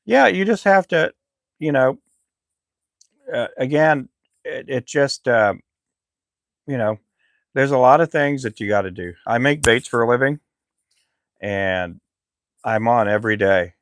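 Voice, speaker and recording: A middle-aged man who makes fishing lures, chatting casually on his live stream in a relaxed, rambling way with lots of fillers. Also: medium pitch, unhurried, pauses often, dry recording. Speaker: lure maker live streamer.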